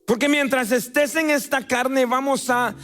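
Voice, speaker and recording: A man's voice: very high-pitched, steady tone, fairly bright tone, soft background music. A man with a Guatemalan accent preaching a sermon as a pastor, stretching out words for emphasis. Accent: Guatemalan Spanish. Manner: preaching with emphatic drawn-out stresses. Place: church sanctuary.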